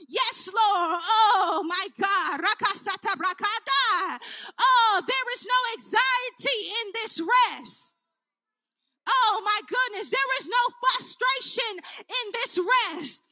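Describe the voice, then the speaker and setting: A woman's voice: extremely high pitch, bright, forceful tone, no room sound. A woman leading an impassioned spoken prayer, crying out with her voice breaking, speaking in tongues between phrases. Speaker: prayer leader.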